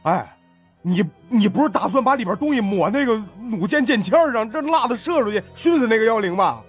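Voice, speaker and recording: Audiobook sidekick: male narrator as chubby wisecracker, high husky voice, cheeky grumbling banter, over music. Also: lively intonation, fairly quick. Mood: grumbling, teasing. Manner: colloquial, needling banter. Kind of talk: audiobook character voice.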